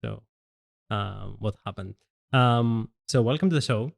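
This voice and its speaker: A man's voice: lowish voice, a little slow, vocal fry. A man hosting a podcast in casual chat, easygoing and laughing at his own mistakes. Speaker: podcast host.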